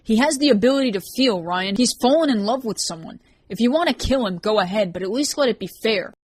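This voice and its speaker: A woman's voice: mid-range voice, steady tone, fairly quick, fairly bright tone, no room sound, close to an everyday voice. A woman voicing an earnest young woman who pleads and pushes back, defiant but hurt. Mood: pleading upset.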